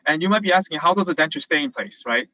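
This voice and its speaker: A man's voice: a little high, lively intonation, fast, pushed and bright, lo-fi sound. A young man who grew up in South Korea and is now a dental student, explaining cases casually with lots of fillers over a video call. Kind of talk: virtual presentation and Q&A.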